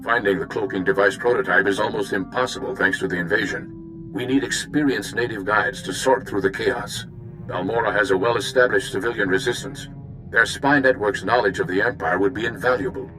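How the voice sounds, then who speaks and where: A composed male diplomat of a video game, voiced by an actor, laying out a mission in a measured, reasonable tone. His voice sits a little low, with faint music beneath. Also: lightly acted, low-quality recording. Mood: calm briefing. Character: earnest male Republic diplomat, calm and measured. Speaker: mission contact.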